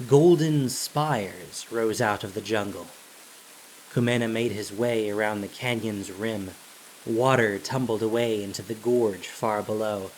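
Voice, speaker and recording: A man narrating a fantasy story as scripted audio drama, in a dramatic, deliberate storytelling manner. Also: fairly low pitch, a little hiss or hum, rough recording. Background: music.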